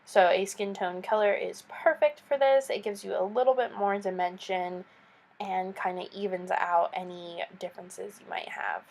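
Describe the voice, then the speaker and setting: A woman's voice: mid-range voice, steady tone, moderate pace, gravelly, darkish tone. A young woman with a stuffy, nasal voice from a cold, chatting through a makeup tutorial voice-over as a beauty vlogger. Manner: chatty, explains each step with casual asides.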